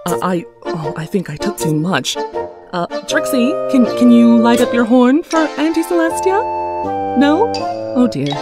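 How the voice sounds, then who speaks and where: A woman's voice: on the high side, animated, a little slow, over loud music. A woman playing a kindly, flustered grown pony auntie who coaxes hesitantly and stammers, in a cartoon comic dub. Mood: flustered, apologetic.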